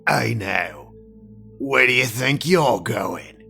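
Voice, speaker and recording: A man playing a big, stubborn, stern guard in an audio drama, with a gruff, gravelly voice. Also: mid-range voice, expressive, slow pace, pushed and bright, soft background music, full character voice. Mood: stern, challenging. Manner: gruff, challenging.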